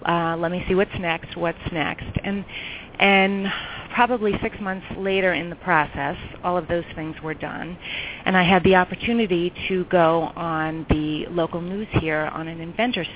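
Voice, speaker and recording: A woman's voice: on the low side, fairly even intonation, moderate pace. A middle-aged woman from New York, telling her story chattily as a guest in a round-table interview, with frequent ums. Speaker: guest entrepreneur. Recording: tinny phone line with faint noise.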